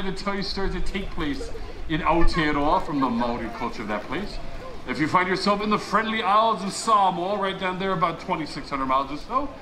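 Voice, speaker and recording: A man telling stories live to guests in a playful, folksy showman's way, with dramatic pauses. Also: a little high, background noise. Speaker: resort storyteller. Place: outdoor poolside deck at a resort. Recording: echoey live outdoor sound, music behind. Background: musical instrument.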